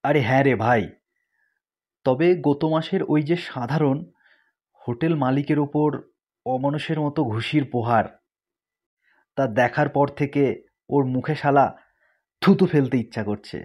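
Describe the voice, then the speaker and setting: A man's voice: medium pitch, unhurried, pauses often, darkish tone, close to an everyday voice. A man voicing a chatty, indignant cartoon buddy, colloquial and emphatic in a comic dub.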